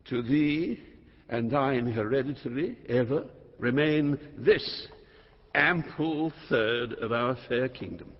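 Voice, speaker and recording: An actor playing an aging, proud and volatile king and father in a classical Shakespearean stage drama, speaking in a grand, commanding manner. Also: medium pitch. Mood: grand, coaxing. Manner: grand, theatrical, deliberate, commanding. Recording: muffled, reverberant hall sound.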